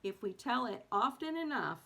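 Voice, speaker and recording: A woman hosting a read-aloud, sharing a self-help book in a casual, slightly halting way with small self-corrections. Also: a little high, sing-song, creaky, sharp tone. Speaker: host of a read-aloud series.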